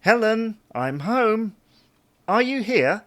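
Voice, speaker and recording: A man's voice: high voice, big pitch swings, slow pace, no echo, full character voice. A British man playing an easygoing, slightly hapless husband, mildly defensive, in an audio sitcom. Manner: mild, querying, gently defensive. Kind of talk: audio sitcom acting, domestic dialogue.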